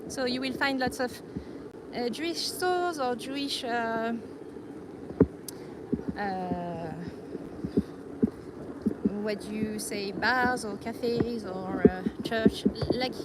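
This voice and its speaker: A woman walking outdoors on busy streets, chatting casually on a live stream and often pausing to search for words. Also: on the high side. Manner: hesitant, searching for words, light laughs. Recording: loud street noise.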